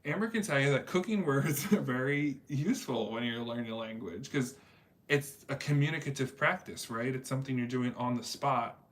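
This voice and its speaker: A man's voice: medium pitch, normal speed, fairly bright tone. A man hosting a casual livestream chat, rambling in a talkative way with many fillers. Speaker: livestream host reading chat.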